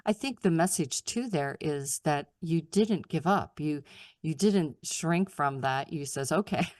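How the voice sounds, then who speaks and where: A woman's voice: deep voice, lively intonation, measured pace, darkish tone, no echo, clean studio sound. A retired woman in her sixties hosting a podcast, upbeat and encouraging toward her guest. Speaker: podcast host. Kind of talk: casual interview talk.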